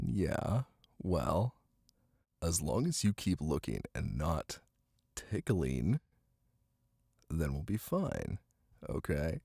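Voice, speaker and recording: Deep, gravelly, tender boyfriend played by a man. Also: dry recording, exaggerated voice. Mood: playful, tender. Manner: soft, unhurried, teasing, with murmured pauses. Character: affectionate, playful, ticklish boyfriend reading aloud to partner. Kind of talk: intimate boyfriend roleplay ASMR. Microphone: close microphone. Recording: close, dry, with rain ambience. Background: rain.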